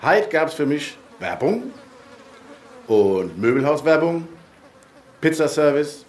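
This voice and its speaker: An actor in a radio play voicing a chatty, resigned old-fashioned mailbox in a rural German dialect, folksy and rambling. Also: male voice, fairly high pitch, sing-song, slow, a little hiss or hum. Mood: teasing.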